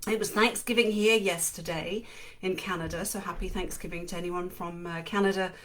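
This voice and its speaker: Woman, English accent, middle-aged, casual live-stream chat, self-deprecating, fairly low voice. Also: steady tone, brisk, a bit bright, slight background noise. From England and Switzerland. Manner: chatty and self-deprecating, with laughs. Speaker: podcast co-host.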